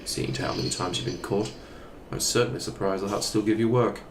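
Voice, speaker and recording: A man acting a haughty, menacing Imperial officer in an audio drama, condescending and measured, with noisy ambience around him. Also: fairly low pitch, steady tone, echoey room, natural voice. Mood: scornful.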